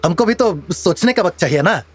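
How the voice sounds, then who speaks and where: A man's voice: sits high, lively intonation, a little fast, husky, soft background music, pitched above the performer's own voice. A man voicing a reluctant, exasperated young male tea seller in an audio story, flustered and protesting.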